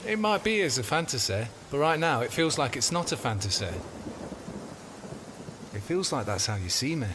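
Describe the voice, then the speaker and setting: A man's voice: medium pitch, animated, normal speed, background noise, lightly acted. A man acting as an uneasy, self-doubting boyfriend in an audio drama, protesting in a hesitant, defensive way.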